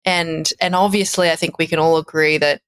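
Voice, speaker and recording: Low-voiced Australian woman chatting. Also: level tone, fairly quick, clear, resonant tone, no room sound. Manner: chatty, laughs often, restarts mid-sentence. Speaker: podcast guest, musician. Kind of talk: casual conversation.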